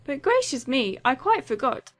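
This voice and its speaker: Woman acting a giddy young bride, high-pitched, gossipy, self-absorbed, rambling, period audiobook drama, music underneath. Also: sing-song, normal speed. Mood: flustered.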